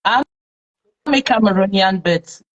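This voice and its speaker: A woman's voice: mid-range voice, measured pace, muffled recording. A Nigerian woman hosting a live-streamed talk show, chatting with followers and leaning on plenty of fillers. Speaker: host.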